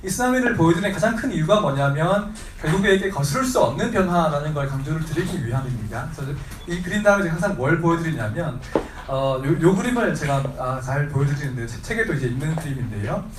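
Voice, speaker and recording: A man giving a lecture on his book to a seated audience, with a sore throat from a cold, speaking haltingly with many fillers and restarts and clearing his throat often. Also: fairly high pitch, animated. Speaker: lecturer and author. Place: seminar room with audience. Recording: echoey room, voice from a distance, faint hiss.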